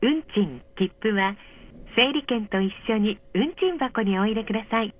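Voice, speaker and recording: A woman reading a polite, measured scripted announcement over a train's speakers, clearly enunciated. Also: mid-range voice, expressive. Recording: dull, muffled train speaker recording.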